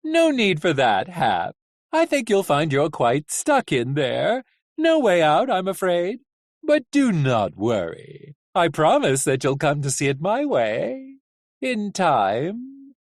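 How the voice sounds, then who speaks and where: An audiobook reader voicing a cheerful, smooth-talking male coachman, a menacing captor with theatrical, drawn-out, mock-polite speech. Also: medium pitch, huge pitch swings, dry recording, studio quality, exaggerated voice. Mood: mock-reassuring. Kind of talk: audiobook character acting.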